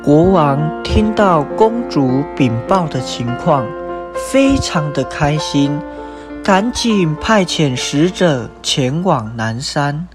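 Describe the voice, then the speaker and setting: A man's voice: fairly high pitch, lively intonation, takes it slowly. A young man with a Taiwanese Mandarin accent reading scripted narration for a radio drama. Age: young adult. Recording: music bed behind narration.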